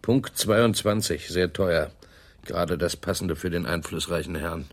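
An actor playing a casually observant police detective in a radio crime drama. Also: male voice, deep voice, unhurried. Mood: casual, wry. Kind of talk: German radio play acting, office dialogue.

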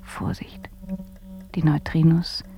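A bedridden woman in a radio drama, murmuring tenderly in a low, creaky voice. Her delivery is breathy, halting and very unhurried, as if describing visions. Faint music lies underneath. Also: fairly even intonation, dark tone, whispered. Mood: intense, intimate.

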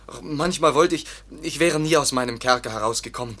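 Fearful, childlike young foundling, plaintive and anxious; mid-range voice; radio play. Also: male voice, medium pitch, a little fast, a bit bright. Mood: sorrowful. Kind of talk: radio drama acting.

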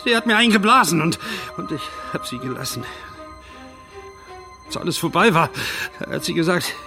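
Gravelly, agitated guilt-ridden police chief. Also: male voice, on the high side, animated, music in the background. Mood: halting confession. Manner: halting, agitated, breaking into pleading. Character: guilt-ridden aging former small-town police chief, confessing. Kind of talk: German radio drama acting.

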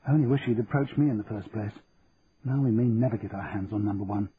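A drowsy young wife, as a male reader puts her on, speaking in a soft, sleepy half-whisper. She sounds plain and practical. The recording is thin and tinny, with a faint hiss behind it. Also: fairly low pitch, fast, close to an everyday voice.

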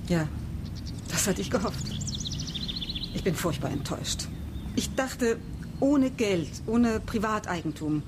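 A woman's voice: mid-range voice, lively intonation, slow, long pauses, heavy background noise. An actress playing a resolute woman leading a space colony in a radio play, insistent and earnest in standard German. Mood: disappointed.

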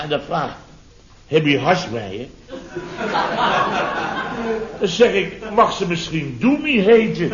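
A man's voice: a little high, roomy sound. A man playing a blustering, sarcastic middle-aged contractor boss in a vintage radio comedy, repeating himself indignantly. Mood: indignant storytelling. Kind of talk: 1970s Dutch radio comedy acting. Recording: dull vintage radio recording.

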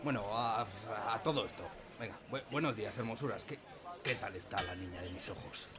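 Man as chummy stammering bar customer, lowish pitch, comic radio serial, crowd murmur behind. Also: brisk, close to an everyday voice. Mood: placating. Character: lovestruck, jokey male bar regular.

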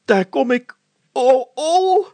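A man's voice: extremely high pitch, animated, slow pace, mellow, no echo, pitched above the performer's own voice, heavily acted. A man voicing a plump, fearful older mayor who stammers, blusters and protests in a children's story. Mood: panicky, hesitant. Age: middle-aged to older.

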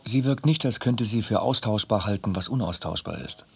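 A man narrates literary prose in a fairly low, husky voice. His phrasing is measured and deliberate, as in a scripted reading. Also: a bit bright, no room sound.

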